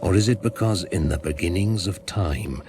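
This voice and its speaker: A man playing a grave, commanding pagan elder in a radio drama, solemn and measured. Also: sits low, noisy background, natural voice. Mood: stern questioning. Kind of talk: 1980s radio drama acting. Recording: archive radio play with loud music.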